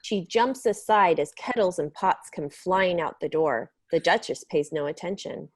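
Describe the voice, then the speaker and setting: A woman's voice: fairly low pitch, animated, measured pace, slightly rough. A woman narrator reading scripted stage directions for a children's story in a dramatic reading.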